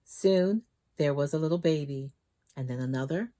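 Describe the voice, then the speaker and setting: A woman storytime host reading a children's book aloud in an expressive, dramatic way that leans on key words. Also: low voice, moderate pace.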